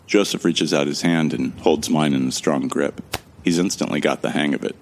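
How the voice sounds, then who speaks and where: A man's voice: rumbling low, normal speed. An actor playing a friendly amnesiac man who narrates his story in a conversational, wry first-person style. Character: Jake Fisher, amnesiac man, observant and friendly. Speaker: narrator and lead character of an audio drama.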